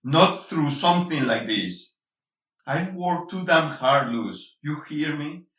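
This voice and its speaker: A man plays a bitter middle-aged husband and father in an intense play reading. The voice is fairly high and sharp, arguing emphatically with Spanish mixed into the English. It sits back from the mic in an echoey room. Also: lively intonation, slow, recorded from a distance. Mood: angry, bitter. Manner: confrontational, emphatic, swearing, switches between English and Spanish.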